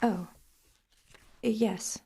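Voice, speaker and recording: A woman's voice: medium pitch, steady tone. Hesitant, shaken woman, noisy backdrop. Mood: guarded, uneasy. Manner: hesitant, halting, short answers. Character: shaken, evasive woman under questioning. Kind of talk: naturalistic audio drama acting. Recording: clear background noise.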